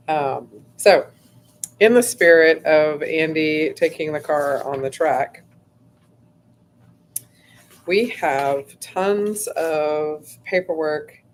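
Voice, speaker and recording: A hoarse woman hosts a casual livestream, talking at an unhurried, drawn-out pace. She rambles chattily, leaning on "like" and "um" and clearing her throat again and again. A faint low rumble sits under her voice. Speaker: host.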